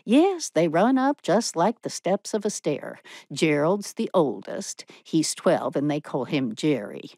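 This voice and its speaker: A woman voicing an opinionated, sharp-tongued spinster who gossips with contempt and bitterness in a storybook reading. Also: low voice, very animated, a little slow, hardly any pauses, no room sound, clean studio sound, voice pushed down, full character voice. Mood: indignant gossip.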